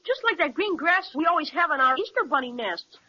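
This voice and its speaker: A woman's voice: high-pitched, lively intonation, brisk. A performer voicing a bold, curious young boy in a 1930s radio serial, with eager kid talk. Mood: plucky. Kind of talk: 1930s radio drama acting. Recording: dull, lo-fi old radio recording with faint hiss.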